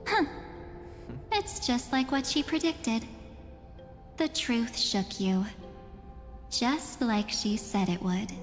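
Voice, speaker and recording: An actress playing an enigmatic young woman in a video game, gentle and teasing, with soft, breathy phrase endings. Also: fairly high pitch, expressive. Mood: solemn, wistful. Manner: soft, coy, unhurried, with breathy trailing ends. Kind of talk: dramatic video game dialogue. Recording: reverberant hall sound under music.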